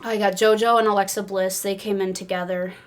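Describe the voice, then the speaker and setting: An American woman casually telling excited personal stories in an animated, giggly way, peppering her speech with "like". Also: mid-range voice, a little fast. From Illinois, United States. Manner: animated, giggly, says "like" often. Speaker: podcast crew member telling fan stories.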